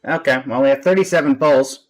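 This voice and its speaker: A man's voice: mid-range voice, a little fast, rough recording. A man giving casual live-stream game commentary as the host, with a dry, resigned way of talking. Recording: music playing behind the voice. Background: background music.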